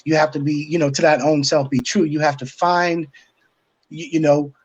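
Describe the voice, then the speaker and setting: A man speaking as a panel guest, giving advice in a halting, thoughtful, conversational way. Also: on the high side, flat delivery, breathy edge, highs cut off. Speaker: guest, stage play writer-director.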